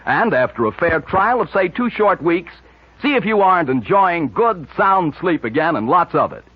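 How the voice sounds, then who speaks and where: Male forties radio announcer, higher-pitched and animated sponsor read, muffled vintage broadcast sound. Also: moderate pace, slightly rough. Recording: dull, hazy 1940s radio broadcast.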